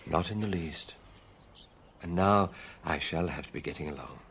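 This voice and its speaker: An actor playing a middle-aged British chief inspector in a radio drama, fatherly and reflective, speaking in a measured, musing way. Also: a bass voice, a little hiss or hum. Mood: gentle, businesslike. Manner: measured, musing, gently probing. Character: veteran male police chief inspector, fatherly, quietly reflective.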